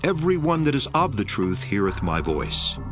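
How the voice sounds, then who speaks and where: An actor playing Jesus, a calm male teacher, speaking in a measured, solemn way in a dramatized scripture reading with music behind. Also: medium pitch, lightly acted. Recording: audio drama with music bed.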